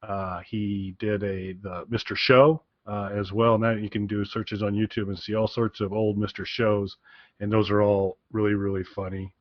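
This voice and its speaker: A man co-hosting a podcast, giving a casual recommendation in a rambling, halting way and often repeating his phrases. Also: on the low side, flat delivery, no room sound, highs cut off. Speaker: podcast co-host.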